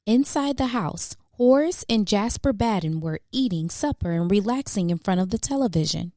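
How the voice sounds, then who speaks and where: A woman reads a children's story aloud. Her voice is soft-edged and mellow with very animated intonation and measured dramatic emphasis, recorded close and dry. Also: mid-range voice, dry recording. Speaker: children's book reader. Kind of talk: expressive storybook narration.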